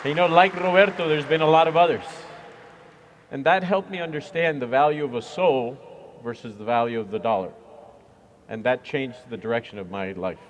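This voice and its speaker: A man's voice: medium pitch, moderate pace, a faint crowd, slight background noise. A man who is a church minister, talking earnestly and reflectively in an interview, with frequent fillers. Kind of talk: conversational interview.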